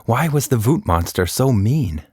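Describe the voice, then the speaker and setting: A man's voice: medium pitch, big pitch swings, measured pace, a little mellow, slightly rough, dry recording, studio quality. A man narrating a scripted children's story as a podcast storyteller.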